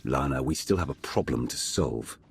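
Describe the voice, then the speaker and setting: An actor playing a cold, menacing Sith agent in a video game, with a terse, commanding delivery and gravelly voice. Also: male voice, very deep voice, expressive, normal speed, exaggerated voice. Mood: cold, accusing. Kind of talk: video game cinematic acting.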